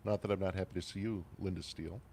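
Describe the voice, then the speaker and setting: A man's voice: low-pitched, fairly even intonation, quick pace, creaky, a little mellow, breathy edge. A man from California hosting a morning radio show, chatting casually and joking with his co-hosts from a remote location. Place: remote, away from the studio.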